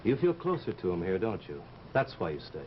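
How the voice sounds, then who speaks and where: An actor playing a bold, curious, smitten sculptor in an old radio drama, intrigued and insistent. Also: male voice, deep voice, big pitch swings, a little fast. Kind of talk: 1940s radio drama acting. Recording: noisy, dull vintage radio recording.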